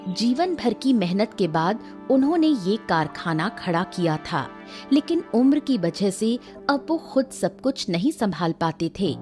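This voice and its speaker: A woman delivers scripted story narration. Her mid-pitched voice moves expressively up and down, with music playing behind it. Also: a little mellow.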